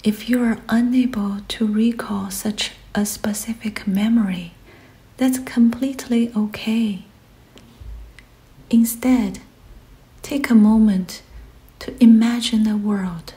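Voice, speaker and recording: A woman leading a scripted guided meditation in a soft, breathy, often near-whispered voice, gentle and soothing, with pauses between short phrases. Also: a little high, fairly even intonation.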